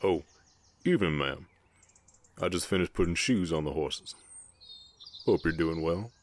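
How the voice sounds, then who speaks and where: A man voicing a big, gentle, guarded orc ranch hand with a Southern US accent, speaking politely and haltingly in an intimate Western ASMR roleplay. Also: low voice. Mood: friendly, polite. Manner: halting, polite, soft-hearted drawl with folksy turns.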